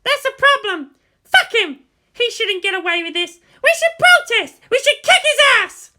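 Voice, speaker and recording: A man's voice: very high voice, very animated, normal speed, bright, forceful tone, voice pushed up, full character voice. A man voicing a fiery, indignant teenage girl with a scowling, challenging manner in an audiobook-style story. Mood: indignant, furious.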